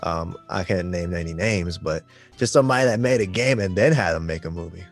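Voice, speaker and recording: A man's voice: low voice, animated, fairly quick. A man chatting casually in slangy, laid-back phrasing. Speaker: podcast co-host. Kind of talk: casual chat. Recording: music under the voice.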